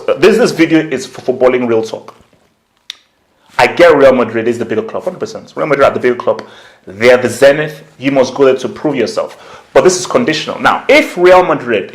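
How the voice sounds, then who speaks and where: A man giving casual, opinionated football commentary as a vlog host, slangy and conversational, repeating points for emphasis. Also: mid-range voice. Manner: slangy, conversational, emphatic repetition, acts out imagined quotes. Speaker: football YouTuber, channel host.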